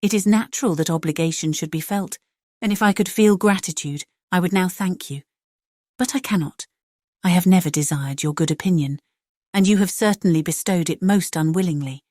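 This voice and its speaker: A woman playing a proud young gentlewoman in a dramatized audiobook, formal, measured and cuttingly indignant. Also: on the low side, dry recording, studio quality, close to an everyday voice. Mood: cold, controlled.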